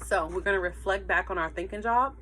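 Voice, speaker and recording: A woman with a very bright, forward voice at a medium pitch reads aloud like a teacher to her pupils. She tells it expressively and emphatically, turning to her listeners with short asides. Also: a little fast, a little hiss or hum. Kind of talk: animated children's read-aloud with asides to listeners.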